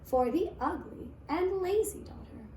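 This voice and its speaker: A woman narrating a fairy tale for children in a deliberate, expressive storytelling manner. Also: very high voice. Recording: echoey room with faint noise.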